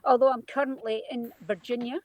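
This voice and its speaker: A Scottish woman crime writer on a panel over a video call, lively and self-deprecating, a little tired early in the morning. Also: a little high, a little fast, no room sound. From Scotland. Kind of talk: panel discussion.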